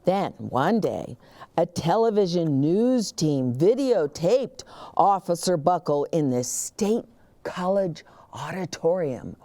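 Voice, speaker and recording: A woman hosting storytime, reading a children's picture book aloud expressively, with dramatic pauses and emphasis. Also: lowish voice, expressive, dark tone. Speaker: children's storytime reader and host.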